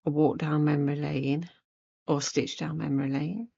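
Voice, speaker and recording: A woman with a croaky, hoarse voice from a cold, giving a casual, chatty craft tutorial and thinking aloud as she goes. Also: low voice, level tone, moderate pace, mellow, highs cut off. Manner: unscripted, rambling, thinking aloud while working. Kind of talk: casual chatty needlework demonstration.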